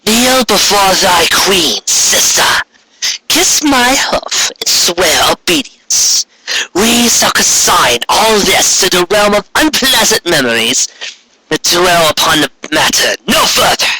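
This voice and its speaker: A man's voice: high-pitched, sing-song, takes it slowly, bright, forceful tone, a little hiss or hum, voice pushed up, exaggerated voice, rough recording. A man voicing an imperious, self-righteous elder female alicorn queen in a fantasy story, speaking in grandiose, haughty archaic phrases. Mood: commanding.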